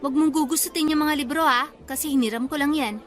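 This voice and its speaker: An actress playing a curt, bossy young older sister in a radio drama, brisk and demanding. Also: female voice, high-pitched, some chatter behind, lightly acted. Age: young adult.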